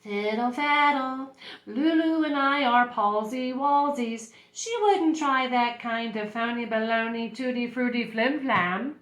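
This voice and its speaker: A woman voicing a bossy, brisk school nurse in a children's story, firm and emphatic. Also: fairly high pitch, a bit bright, echoey room, rough recording. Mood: dismissive.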